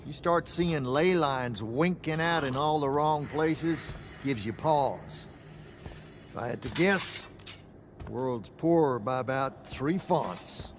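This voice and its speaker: A crotchety older man in a video game, voiced by an actor grumbles at a middling pitch. He is blunt, folksy and shrewd. Slight ambient noise sits behind him. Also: slow, natural voice. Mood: grave, knowing.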